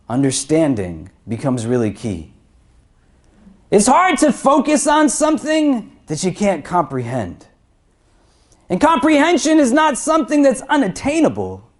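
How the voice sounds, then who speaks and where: High, animated male preacher. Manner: swells in pitch and volume on lists and rhetorical questions, then drops low. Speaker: imam. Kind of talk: Friday sermon. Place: crowded prayer hall.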